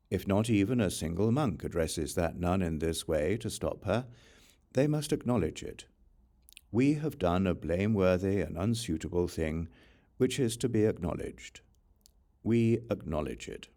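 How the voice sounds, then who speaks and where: A man reading scripture as an audiobook narrator, in a measured, formal, scripted recitation. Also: sits low, animated, dark tone.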